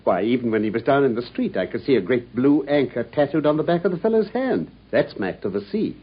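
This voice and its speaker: An actor playing a brilliant, self-assured detective in a radio play, precise and lightly condescending, on a dull lo-fi broadcast recording. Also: male voice, medium pitch, big pitch swings, a little fast, breathy edge. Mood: deducing. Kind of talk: 1950s-style BBC radio drama acting.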